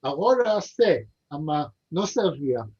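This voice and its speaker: A man being interviewed over a video call, speaking haltingly with many pauses, fillers and repeated words. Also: fairly high pitch, very animated, a little mellow, husky. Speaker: interview guest. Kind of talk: hesitant conversational interview. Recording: dull lo-fi video call audio.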